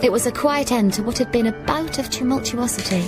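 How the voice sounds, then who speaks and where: An actress playing a plucky, puzzled heroine who narrates a surreal comic radio drama in a wry, earnest way. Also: female voice, a little high, fairly quick, natural voice. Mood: wry, reflective. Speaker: lead actor and narrator. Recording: music behind the voice.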